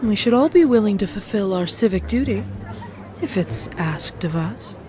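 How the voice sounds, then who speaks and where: A woman's voice: mid-range voice, animated, moderate pace, dark tone, natural voice. A woman playing a proud, cutting noblewoman with a formal manner in an audio drama. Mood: haughty, challenging.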